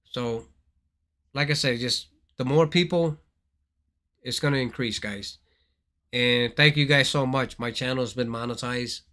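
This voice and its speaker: A man's voice: medium pitch, a little slow, long pauses, pushed and bright. A man from Thailand hosting a casual gaming livestream, rambling and repeating his words as he talks straight to his viewers.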